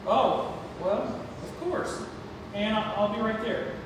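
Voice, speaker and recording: A man at a play table read, playing an awkward, apologetic father who speaks haltingly. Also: sits high. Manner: hesitant, halting. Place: large indoor theatre room, far microphone. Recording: distant, echoey hall, noisy room recording.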